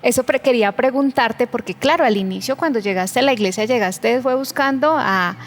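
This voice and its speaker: A Colombian-accented woman hosts a church conversation show, encouraging her guest with short affirmations. She often stumbles and repeats words as she builds a question. Her voice sits fairly high. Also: fairly quick. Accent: Colombian Spanish. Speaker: church program host. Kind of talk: faith conversation interview.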